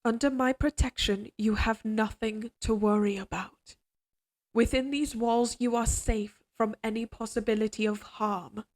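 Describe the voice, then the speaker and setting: A woman voicing an obsessively devoted, quietly menacing evil queen in an audio drama, speaking in a formal, measured, imperious way. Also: a little high, steady tone, natural voice. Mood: controlled menace. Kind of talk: scripted dramatic audio roleplay.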